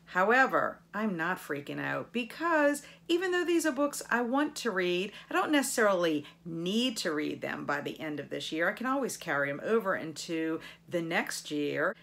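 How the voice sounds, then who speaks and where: A middle-aged woman hosting a book channel, chatting casually to viewers with enthusiastic, expressive emphasis. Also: fairly low pitch, moderate pace, gravelly, hardly any pauses. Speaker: book vlogger and channel host.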